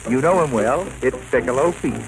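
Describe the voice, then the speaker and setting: A man's voice: mid-range voice, fairly quick, low-quality recording. A man performing novelty song patter as a bandleader on a 1930s radio broadcast. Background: loud dance band instruments.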